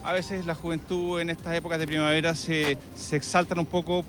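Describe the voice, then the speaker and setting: A man's voice: a little high, level tone, brisk, fairly bright tone, faint music behind. A man, a professor stopped on a city street outdoors, answering earnestly. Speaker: university professor passing by.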